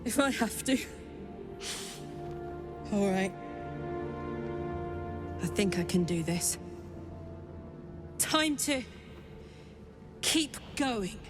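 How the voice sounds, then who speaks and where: A woman's voice: mid-range voice, lively intonation, extremely slow, pauses often. A woman playing a fierce, foul-mouthed, wounded female warrior in a dramatic video game. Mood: weary resolve. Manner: blunt, swearing, emotional. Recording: music behind the voice.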